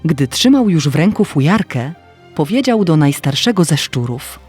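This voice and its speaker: A woman's voice: low-pitched, very animated, normal speed, a little mellow, breathy edge, faint music behind. A woman reading a scripted fairy tale as narrator.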